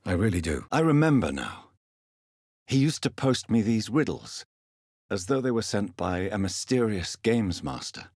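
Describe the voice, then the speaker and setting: Casting: an educated English doctor turned vampire for a game; husky, formal and earnest, close dry sound. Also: male voice, very animated, a little mellow, no echo. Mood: reflective, probing. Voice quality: low husky voice. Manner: formal, measured, earnest phrasing. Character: grave, educated gentleman doctor turned vampire, early 20th century. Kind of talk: video game character dialogue.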